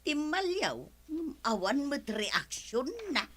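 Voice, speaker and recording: A woman with an Ilocano accent playing a brash, nosy, defiant village wife in a radio comedy drama, with forceful delivery. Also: high voice, big pitch swings, takes it slowly, exaggerated voice.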